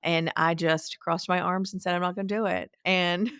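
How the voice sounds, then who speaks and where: A woman's voice: fairly low pitch, steady tone, normal speed, a bit bright, clean tone, dry recording, clean studio sound. A woman in her forties, a business coach guesting on a podcast, playful and self-mocking, laughing often. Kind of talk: casual interview chat.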